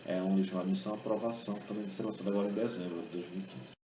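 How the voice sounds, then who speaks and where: A young man gives a relaxed, conversational talk, dropping fillers and tag questions as he goes. He is caught from a distance in a big echoing hall, and the sound is muffled and dull with a faint hiss underneath. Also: lowish voice, rapid-fire. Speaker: speaker giving a talk. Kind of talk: public talk with exam study tips. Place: event hall.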